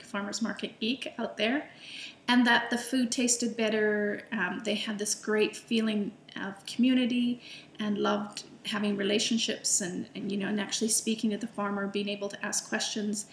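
A woman's voice: medium pitch, reverberant, slight background noise. A middle-aged woman telling a personal story to camera in a chatty, enthusiastic way, with fillers and restarts. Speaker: illustrator and food vlogger.

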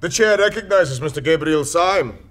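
A man's voice: on the high side, lively intonation, moderate pace, a bit bright. An actor playing the formal, businesslike chairman of a secret anarchist meeting in a radio play.

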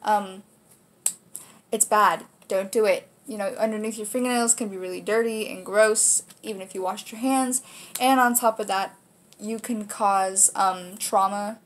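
A woman's voice: mid-range voice, slight background noise. A nineteen-year-old woman with an American accent, chatting casually to camera in an informal, rambling way as she hosts a video. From United States, Kansas. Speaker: video host. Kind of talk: casual vlog chat to camera. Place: small room indoors.

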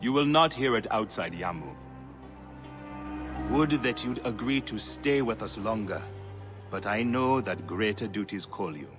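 An actor playing a gentle, weary male village priest who speaks politely in a video game. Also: on the low side, expressive, music in the background. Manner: polite, measured, courteous.

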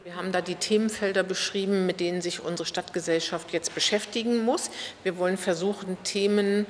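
Female official in her fifties, slightly low pitch, echoey hall. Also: fairly even intonation, brisk. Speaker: city social affairs official. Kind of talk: interview explanation. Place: wood-panelled meeting room. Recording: echoey hall, faint room noise.

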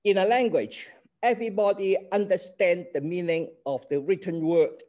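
A man's voice: sits high, normal speed, dark tone. An older man from Hong Kong, a native Cantonese speaker with a Hong Kong accent, giving an online class lecture over a video call and checking in with "okay?". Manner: earnest, explanatory, checks in with "okay?".

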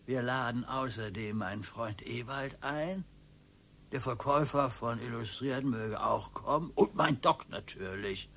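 A grumpy old retired husband. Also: male voice, medium pitch, measured pace, fairly bright tone, a little hiss or hum. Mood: wistful planning. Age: older. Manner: grumbling, then dreamily planning. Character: grumpy retired husband nursing a sore toe. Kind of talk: radio play acting.

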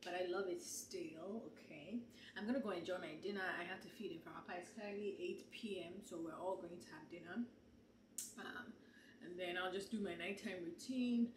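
A woman in her twenties vlogging casually at home, talking to viewers with frequent laughs and animated asides, filmed on a handheld camera. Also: on the low side, normal speed, reverberant, noisy background. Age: 20s. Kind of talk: casual vlog chat. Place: small apartment kitchen and bedroom indoors. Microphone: handheld camera at arm's length.

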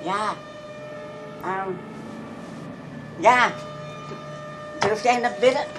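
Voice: medium pitch, very slow, fairly bright tone, roomy sound, slight background noise. An adult actor voicing a curious, playful young child in a children's audio play, with wondering short exclamations. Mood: worried. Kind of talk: children's audio drama acting.

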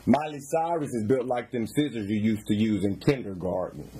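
A man's voice: on the low side, moderate pace, mellow, slight background noise. An American man in his thirties delivering comedic roast one-liners, tickled by his own jokes.

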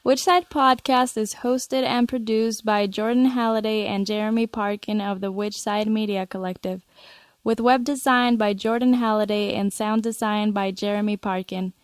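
A woman's voice: mid-range voice, steady tone, normal speed, smooth, no room sound. A woman reading a scripted podcast outro as an announcer.

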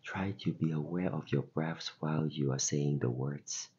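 A man leading a guided meditation as a podcast host, speaking in gentle, soothing, deliberate phrases with pauses between them. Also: rumbling low, breathy edge, lo-fi sound.

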